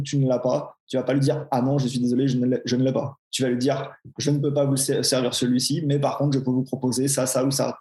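Swiss French guy in his 40s, flat delivery, roomy echo; frank, self-mocking interview guest. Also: medium pitch. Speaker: personal trainer, podcast guest. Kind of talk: casual interview chat.

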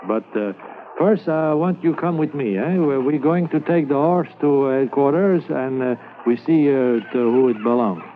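An actor playing a kindly, hopeful constable who speaks halting English mixed with words, in a 1950s radio drama. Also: male voice, mid-range voice, natural voice. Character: French-Canadian Mountie constable, kindly, hopeful. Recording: tinny old radio transcription, hiss.

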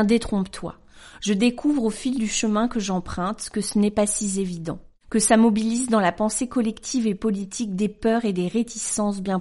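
Woman in her 40s, podcast host, reads a letter out slow and earnest, mid pitch. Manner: calm, measured and earnest. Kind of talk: scripted narration, reading a letter aloud.

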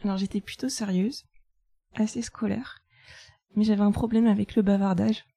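A woman in her thirties from France, a guest on a podcast, talking through her life in a relaxed, chatty way with fillers. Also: mid-range voice, normal speed, creaky, dry recording, clean studio sound. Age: 30s. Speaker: guest, chartered accountant. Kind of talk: casual interview.

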